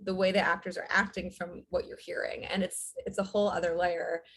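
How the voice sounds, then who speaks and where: Husky-voiced woman screenwriter. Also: lowish voice, little pitch movement, fairly quick, a bit bright. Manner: rambling, storytelling, frequent filler words. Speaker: writer and director, guest. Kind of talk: casual interview chat.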